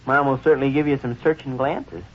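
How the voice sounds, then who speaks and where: An actor playing a wry, folksy American schoolboy son in a 1940s radio comedy, talking in homespun slang. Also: male voice, mid-range voice, fairly even intonation, a little fast, close to an everyday voice. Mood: agreeable. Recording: dull vintage radio recording.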